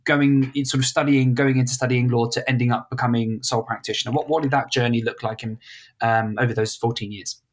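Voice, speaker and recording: A woman's voice: extremely low pitch, fairly even intonation, fast. A woman hosting a podcast interview, playful and laughing along, with many fillers. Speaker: podcast host.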